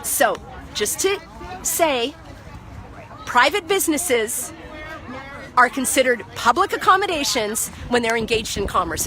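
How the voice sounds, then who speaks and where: Impassioned high-voiced woman lecturing outdoors. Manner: impassioned, lecturing, repeats herself. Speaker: vlogger. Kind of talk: outdoor phone vlog, heated argument. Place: outdoor market parking lot. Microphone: phone held by the speaker.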